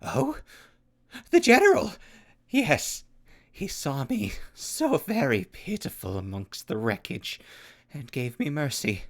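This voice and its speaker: A man's voice: a little high, sing-song, slow, heavily acted. A man playing a volatile pyromaniac clown soldier in an audio drama, with grandiose, florid speech and swooping outbursts. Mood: fervent, reverent.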